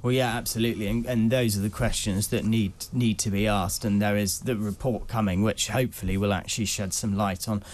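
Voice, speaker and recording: A British male correspondent with a somewhat low voice explains the news. He is articulate and earnest, speaking as if advising listeners. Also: fairly even intonation, fairly quick, few pauses, a little mellow. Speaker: travel correspondent, guest.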